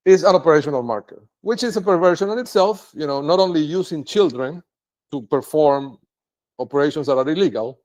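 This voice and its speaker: Native Spanish-speaking man, solo vlog monologue, halting and rambling, voice sits a bit high. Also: a little mellow. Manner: rambling, halting, tags sentences with "right?". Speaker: video blogger. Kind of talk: unscripted monologue to camera.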